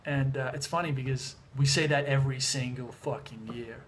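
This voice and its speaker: A young Australian man from Sydney, a bit hoarse from being sick, talking casually to the camera in a relaxed, chatty way with lots of fillers and restarts. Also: mid-range voice, moderate pace, a little hiss or hum. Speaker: music producer vlogger. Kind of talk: casual vlog talk to camera. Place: home in the mountains, moving between outdoors and indoors.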